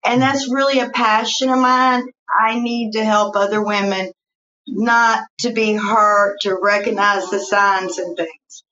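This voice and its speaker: A woman with a Southern US accent, a guest telling her life story slowly in a casual interview, with frequent fillers. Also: medium pitch, flat delivery, dull audio. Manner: slow, warm storytelling with fillers.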